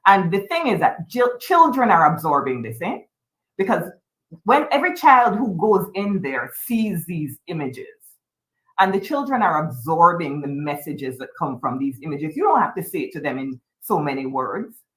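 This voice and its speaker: A woman's voice: lowish voice, sing-song, moderate pace, fairly bright tone. A woman from the Caribbean leading a book club panel discussion, speaking earnestly and with conviction. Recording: echoey room sound.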